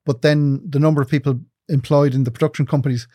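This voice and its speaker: A man in his fifties, originally from England, answering interview questions in an articulate, measured way. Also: fairly high pitch, steady tone, mellow, no room sound, studio quality. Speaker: broadcasting executive guest.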